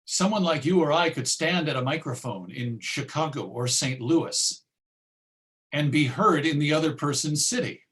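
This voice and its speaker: An American man presenting an informal history lecture, conversational, with deliberate emphasis. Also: a little high, fairly bright tone.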